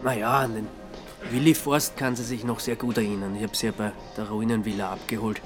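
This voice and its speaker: A man plays a quietly nosy Austrian amateur sleuth in a radio drama. He has a fairly low voice and a hesitant, sheepish way of talking, all mild innocence. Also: flat delivery, fairly quick, music in the background, lightly acted. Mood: friendly. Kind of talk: naturalistic radio drama acting.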